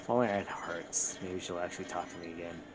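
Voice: sits low, fast, noisy background. A gaming show co-host chatting casually while playing, in short, offhand remarks. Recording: game music and TV sound behind. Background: video game music from a television.

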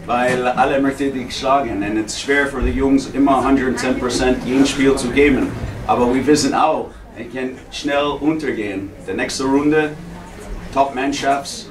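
Male sports coach, forties, non-native phrasing, recapping a game, roomy sound with clear background noise. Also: medium pitch, fairly even intonation, normal speed. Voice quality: non-native, simple broken German phrasing with English words. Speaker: ice hockey coach. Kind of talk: post-game press conference recap. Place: indoor press conference room. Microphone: handheld microphone. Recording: echoey, noisy room, distant sound.

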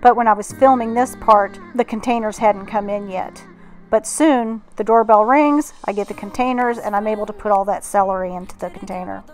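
A woman's voice: mid-range voice, brisk, darkish tone, faint music behind. A middle-aged woman narrating household tasks in a casual vlog voice-over. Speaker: home organizing vlogger.

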